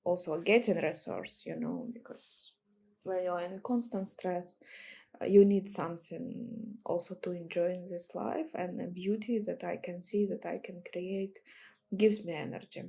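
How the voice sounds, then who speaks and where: A woman from Ukraine with a Ukrainian accent, giving reflective, halting interview answers in non-native English as a guest artist. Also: on the low side, expressive, gravelly, darkish tone, slightly rough.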